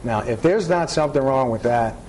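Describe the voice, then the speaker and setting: A man's voice: medium pitch, lively intonation, brisk, darkish tone, slight background noise. A man in his thirties co-hosting a television panel, giving opinions emphatically and repeating his points. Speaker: TV morning show co-host. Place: TV studio, seated on couch.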